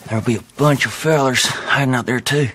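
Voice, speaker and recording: An actor playing an uneasy, folksy American outlaw informant in a western video game, talking in clipped, hurried phrases. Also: male voice, mid-range voice, a bit bright, slightly rough. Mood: wary. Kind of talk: video game cutscene acting.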